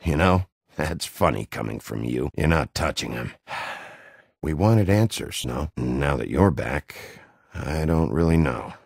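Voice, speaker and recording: An actor voicing a gruff, hot-tempered wolf detective in a video game, rough voice, blunt and threatening. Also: male voice, a bass voice, animated, a little slow, dry recording, clean studio sound, heavily acted. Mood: weary, guarded. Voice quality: gravelly, rough voice. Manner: blunt, threatening interrogator, curses freely.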